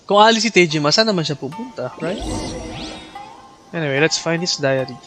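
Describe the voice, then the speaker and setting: A man's voice: fairly high pitch, animated, fairly quick. A man with a Filipino accent and Tagalog as first language, chatting casually and commenting as a game streamer. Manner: reads game dialogue aloud, mixes two languages. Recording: game music behind the voice.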